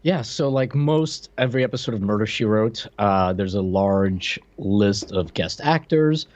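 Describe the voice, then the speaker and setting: A man co-hosting a podcast, talking casually about old television in a halting, conversational way. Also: on the low side, lively intonation, a little mellow, lo-fi sound. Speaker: podcast co-host.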